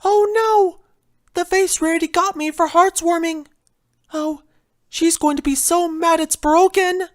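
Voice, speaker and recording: A woman voicing a gentle, shy young pony character in a story reading, soft and sweet. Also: extremely high pitch, steady tone, unhurried, dry recording, studio quality, voice pushed up. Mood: distressed, startled. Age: young adult. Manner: sweet, timid.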